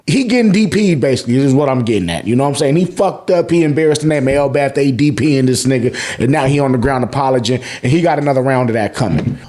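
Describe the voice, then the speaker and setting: A man's voice: on the high side, mellow. An American man hosting a casual, slangy and profane recap, sometimes acting out lines as he goes. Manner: profane, informal, acts out characters' lines. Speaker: YouTube host reviewing manga chapters.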